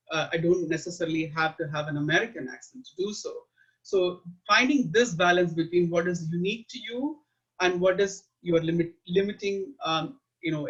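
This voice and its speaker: A man in his forties from India with an Indian accent, speaking as a guest in an interview in an earnest, measured way with frequent fillers. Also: high voice, fairly bright tone. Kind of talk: reflective interview talk. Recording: muffled lo-fi audio.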